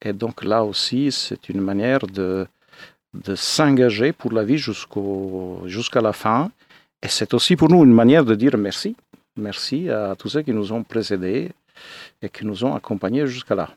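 Male interview guest, late fifties, from Italy. Lowish voice, dry close recording, halting spontaneous delivery. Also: animated, no room sound. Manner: hesitant, searching for words.

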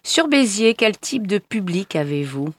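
A woman's voice: medium pitch, very animated, unhurried, dry recording. A woman hosting a radio interview, addressing her listeners and putting questions to a guest.

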